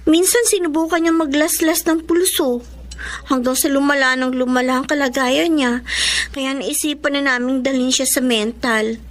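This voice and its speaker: A woman's voice: high-pitched, steady tone, moderate pace, lightly acted. A woman playing a young girl in a radio drama, with a bitter, earnest delivery. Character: young girl, bitter daughter, then bright younger sister.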